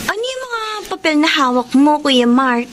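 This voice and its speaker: An actress playing a bubbly, curious younger sister in a Filipino radio drama. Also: female voice, high-pitched, sing-song, normal speed. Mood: curious, puzzled.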